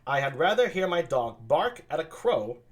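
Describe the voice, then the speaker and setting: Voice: medium pitch, sing-song, normal speed, close to an everyday voice. A reader voicing a witty, scornful young lady with a mocking edge.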